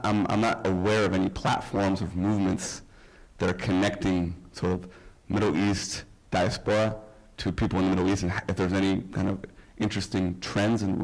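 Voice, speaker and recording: A man from Iran asks a panelist questions from the audience. His voice is very deep and flat, and he speaks politely with small hesitations. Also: vocal fry, poor audio. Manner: polite, measured, a little hesitant. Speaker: audience member asking questions.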